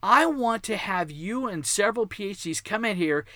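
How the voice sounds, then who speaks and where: Chatty Texan man, bright voice. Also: sits high, animated, moderate pace, dry recording. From Texas. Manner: chatty, digressive, enthusiastic. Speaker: podcast host. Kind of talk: casual monologue.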